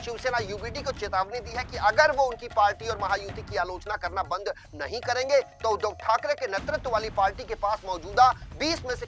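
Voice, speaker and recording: A man reading a scripted news bulletin as anchor, formal and emphatic. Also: high-pitched. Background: music bed.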